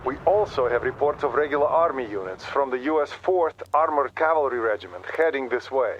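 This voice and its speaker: An actor playing a thoughtful Soviet intelligence officer with a Russian accent, giving measured, matter-of-fact reports in a military video game. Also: male voice, mid-range voice, lively intonation, close to an everyday voice. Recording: dull radio sound, faint battle noise.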